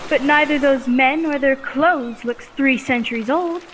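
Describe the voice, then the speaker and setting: A woman's voice: high voice, measured pace, a bit bright, slight background noise, poor audio. A woman playing a curious, doubting young niece in old-time radio drama style.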